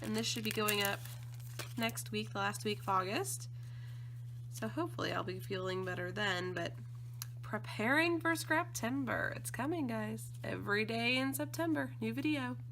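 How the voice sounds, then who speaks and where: Slightly croaky, gushing woman. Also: on the high side, lively intonation, normal speed, noisy background. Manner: gushing, enthusiastic. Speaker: scrapbooking design team member. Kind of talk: casual unboxing chat.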